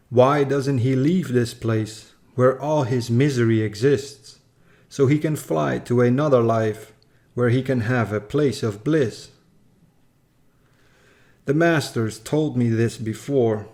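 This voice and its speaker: A man narrates a rhyming tale at a slow pace. His delivery is solemn and measured, with a mid-range pitch. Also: darkish tone. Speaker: story reader.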